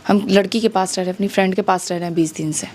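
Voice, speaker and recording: A woman's voice: mid-range voice, steady tone, fast, a little mellow. A woman with a Bihari accent giving her account as an interview guest, indignant and challenging, often using rhetorical questions. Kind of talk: conversational interview testimony.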